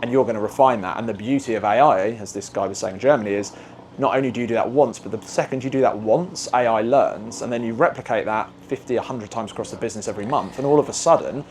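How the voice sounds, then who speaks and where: A man, a podcast guest, explaining ideas in a quick, animated way with analogies. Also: mid-range voice, slight background noise. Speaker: podcast guest, agency managing director. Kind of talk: casual podcast conversation.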